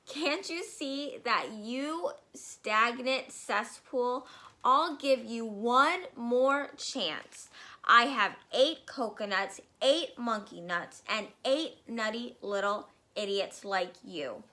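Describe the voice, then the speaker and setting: A woman's voice: fairly high pitch, animated, sharp tone, exaggerated voice. A woman voicing a bullying, furious giant headmistress who spits insults at a child, read aloud from a storybook. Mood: scornful. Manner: bellowing, slow, spits insults.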